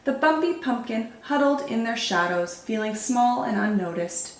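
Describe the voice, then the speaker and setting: A woman hosting storytime, reading picture books in an expressive, animated way for young children. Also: a little high, moderate pace, roomy sound. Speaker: children's storytime host and reader. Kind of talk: expressive picture-book narration.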